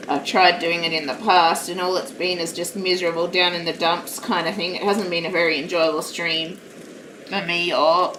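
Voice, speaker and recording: A woman with a naturally loud, slightly low voice chats in a rambling, self-deprecating way while she works on a craft at home. She sits back from the mic in a roomy space, and a steady noise runs underneath. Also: moderate pace, recorded from a distance. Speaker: live-streaming craft hobbyist. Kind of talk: casual chat while crafting.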